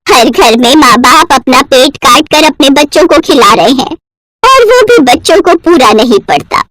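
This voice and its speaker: A woman's voice: high voice, animated, moderate pace, a bit bright, no room sound. A woman voicing a loving old village grandmother in a moral story, lamenting tenderly. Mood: sorrowful. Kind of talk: Hindi animated moral story acting.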